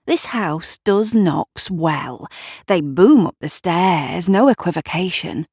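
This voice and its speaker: A woman's voice: lowish voice, expressive, slow, darkish tone, slightly rough, no echo, clean studio sound. A woman narrating a scripted audio drama, with wry, conversational asides.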